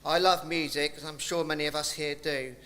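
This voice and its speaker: A man's voice: a little high, fairly even intonation, fairly quick, bright, forceful tone, slight background noise. A man presenting at a live awards ceremony, heaping effusive praise on the winners. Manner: effusive praise, occasional restarts. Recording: reverberant hall, faint ambience.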